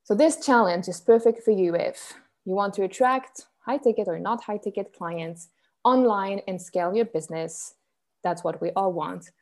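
A woman's voice: fairly low pitch, a little mellow. A young woman from Switzerland, a business coach hosting a live online challenge, chatting upbeat with viewers and reading their comments aloud. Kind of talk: live-stream teaching chat with viewers.

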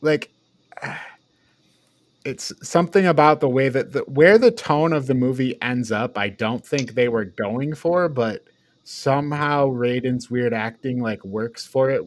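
A man's voice: medium pitch, measured pace. A man co-hosting a podcast, chatting casually through a film review. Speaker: podcast co-host.

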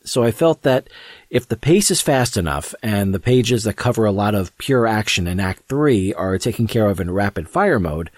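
Man, low voice, dry sound, scripted narration, audio drama host introduction. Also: darkish tone, dry recording.

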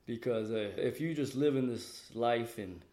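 A man with a mid-pitched voice gives casual interview answers in an echoey room. He speaks emphatically, often repeating a phrase to stress it. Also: unhurried, mellow. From United States.

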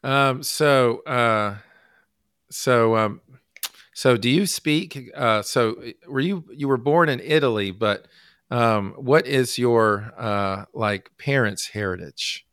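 A man from the United States hosting a casual podcast interview, halting with many fillers and restarts. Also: medium pitch, a bit bright, smooth, dry recording, clean studio sound. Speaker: podcast host.

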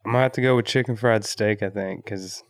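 A man co-hosts a casual podcast in a relaxed, joking way, letting his sentences trail off softly. His voice sits fairly low. The sound is dry and close. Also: fast, no echo. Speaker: podcast co-host.

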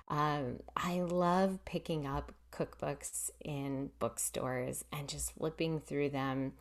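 A woman podcast host, introducing her episode and guest in an earnest, enthusiastic way. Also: deep voice.

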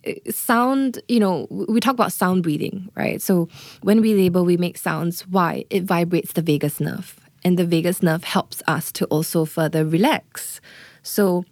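An earnest woman doula talking through birth and motherhood, pausing to ask "right?" as she goes. Her voice sits a touch low and is recorded dry and close, with no room sound. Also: a little mellow, dry recording. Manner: earnest, often checks in with "right?" and "you know". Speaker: doula, guest. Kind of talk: explanatory interview talk.

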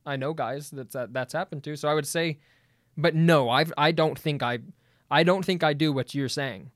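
A man debating as a guest, pushing back eagerly with quick stumbling restarts. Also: on the high side, no room sound, studio quality. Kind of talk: debate conversation.

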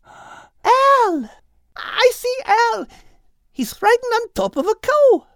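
Here is a sweet little female seagull from a storybook, played by a man. The voice is extremely high and leaps about in pitch, excitable and affectionate. It is captured close and dry. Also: slow, no room sound, full character voice. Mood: excited.

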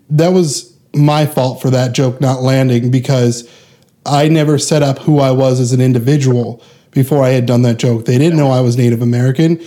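A comedian man recounting a night on stage in an earnest, storytelling way. His voice is mellow and warm, in a casual podcast chat. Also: mid-range voice. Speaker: stand-up comedian.

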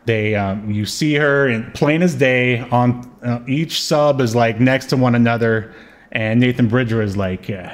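A man's voice: mid-range voice, unhurried. A man co-hosting a podcast, chatting casually about old television in a rambling, playfully argumentative way. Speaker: podcast co-host.

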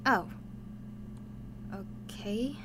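Anxious, sardonic high-voiced young woman. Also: lively intonation, very slow, pushed and bright, a little hiss or hum, exaggerated voice. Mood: defensive. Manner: guarded, sardonic, informal. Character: anxious, sarcastic, stubborn young woman with superpowers. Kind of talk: modern audio drama acting.

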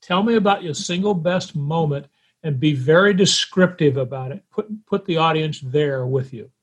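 A man in his seventies speaks as a podcast host. His voice is husky and a touch higher than average for a man. He talks conversationally, setting out his questions directly and deliberately. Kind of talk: conversational interview.

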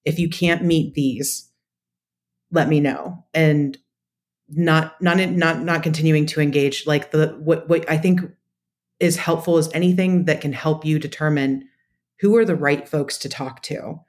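A woman's voice: sits low, fairly even intonation, unhurried, a little mellow. A woman speaking as an interview guest, giving conversational, practical advice in a methodical, explanatory way. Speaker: podcast guest, data practitioner.

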